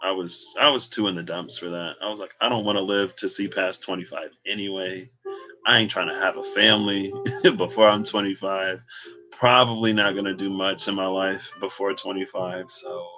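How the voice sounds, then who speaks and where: A man's voice: sits low, steady tone, moderate pace, over music, rough recording. A man in his late twenties, talking candidly and reflectively while reading cards, laughing now and then. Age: 20s. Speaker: tarot reader. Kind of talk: casual spoken card reading.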